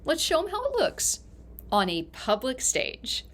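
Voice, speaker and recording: A woman's voice: high-pitched, wildly swooping pitch, measured pace. A woman who co-hosts a show, bantering casually about a script. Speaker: show creator and co-host.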